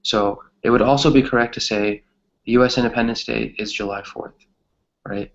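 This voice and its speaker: A man's voice: medium pitch, fairly even intonation, moderate pace, gravelly, highs cut off. A man teaching an English grammar lesson conversationally, encouraging his students and often checking in with "right?".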